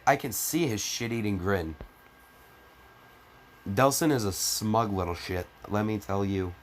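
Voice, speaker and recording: A man giving a mocking dramatic reading, breaking off into crude, exasperated, sarcastic commentary. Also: lowish voice. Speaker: comedic fan fiction reader.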